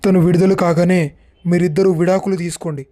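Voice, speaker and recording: A proud, harsh father-in-law, voiced by a man in a storybook drama. His voice sits high yet mellow and dark, and he scolds sternly. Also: fairly even intonation, a little fast, natural voice.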